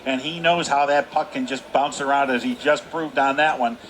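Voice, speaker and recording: A man's voice: medium pitch, fairly even intonation, normal speed, fairly bright tone, a little hiss or hum. An American man giving live color commentary on a hockey game, in an emphatic, folksy way that repeats its points. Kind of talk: live sports analysis.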